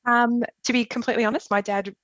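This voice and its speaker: A woman's voice: on the high side, fairly even intonation, quick pace, fairly bright tone, no echo, highs cut off. A middle-aged woman from Melbourne with an Australian accent, telling an interviewer about her nursing career, chatty, with lots of fillers. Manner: chatty, rambling with many fillers, laughs easily. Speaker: guest, emergency nurse. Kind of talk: conversational interview.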